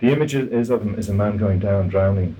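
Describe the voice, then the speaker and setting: An Irish man interviewing in a conversational style, eager and quick to interject. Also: lowish voice, mellow.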